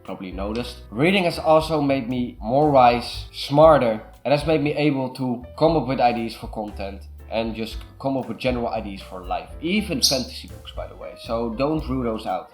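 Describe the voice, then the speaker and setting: A man's voice: medium pitch, lively intonation, brisk, hardly any pauses, darkish tone, soft background music. A young man from the Netherlands with a Dutch accent, earnestly giving casual self-improvement advice to camera. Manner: earnest, repeats "super" and "way better".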